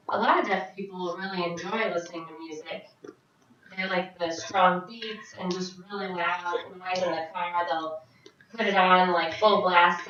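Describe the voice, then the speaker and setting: Woman interpreting sign into speech, kinda low voice, choppy little phrases, far off mic with faint hiss. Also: fairly bright tone. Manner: halting, phrase by phrase, frequent restarts. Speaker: sign language interpreter voicing a Deaf vlogger. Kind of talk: live voice interpretation of a signed Q&A. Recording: very echoey, distant, dull sound.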